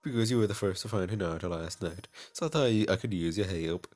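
A man voicing a brisk, easily exasperated schoolgirl in an anime visual novel. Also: sits low, darkish tone, natural voice.

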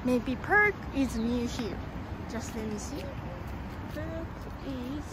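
A woman's voice: sits high, animated, slow. A young woman from Japan with a Japanese accent, chatting casually on a live stream while walking outdoors and holding the camera at arm's length. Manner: chatty, reads viewer comments, hums song snippets. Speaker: vlogger. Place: suburban street outdoors, walking. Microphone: phone or action camera at arm's length. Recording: noisy outdoor street sound.

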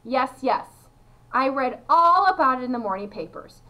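A woman voicing an excitable, eccentric little man who gushes and repeats himself in a high voice as she reads a children's storybook. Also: moderate pace, rough recording. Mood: overjoyed, bustling. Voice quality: high-pitched. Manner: effusive, exclamatory, repeating himself. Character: excitable, gushing, eccentric little man, a candy factory owner.

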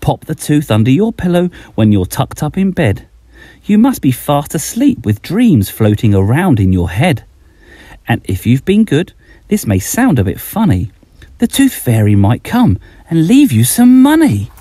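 A man's voice: a little high, huge pitch swings, unhurried, mellow, no room sound. A middle-aged man reading a rhyming bedtime story aloud, expressively, as a children's author addressing young listeners.

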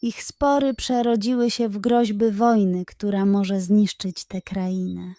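A woman voicing a small magical doll girl in a children's storybook, affectionate, earnest and excitable. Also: mid-range voice, measured pace, no room sound, studio quality, lightly acted. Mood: grave.

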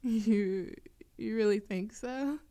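Slow-talking, teasing young barista played by a woman. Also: mid-range voice, warm tone. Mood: shy, embarrassed.

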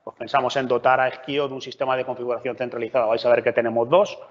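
A man's voice: mid-range voice, flat delivery, quick pace. Man presenting in a big echoey hall. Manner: conversational, frequent filler checks. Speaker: meetup speaker. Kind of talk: technical conference talk. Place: large meeting room. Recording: lo-fi roomy hall recording.

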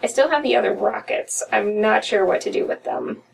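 A young American woman with a slightly high voice reads a story aloud as a podcast co-host. She is recorded a little way from the mic, with faint noise in the room. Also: normal speed, vocal fry, far from the mic, low-quality recording. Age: young adult. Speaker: podcast co-host reading horror stories. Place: small room at home, indoors.

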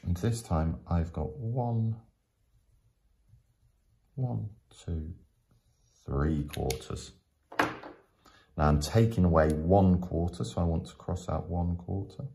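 A man teaching in a step-by-step tutorial, explaining patiently with frequent pauses. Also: low voice, creaky, a little mellow, slight background noise. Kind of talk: step-by-step maths tutorial narration.

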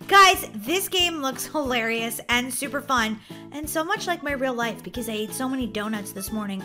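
A woman's voice: on the high side, lively intonation, moderate pace, music in the background. A woman hosting a gaming video, roleplaying in an exclamatory, dramatic style. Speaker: gaming channel host. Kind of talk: animated video game roleplay.

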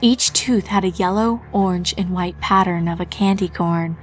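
A woman's voice: fairly low pitch, moderate pace. A woman reading a scripted fan fiction story as its narrator. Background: background music.

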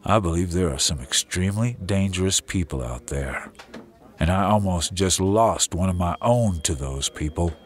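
An actor playing a beleaguered, stubborn sheriff in an audio drama, firm and weary. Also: male voice, low-pitched, animated, moderate pace. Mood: weary, subdued.

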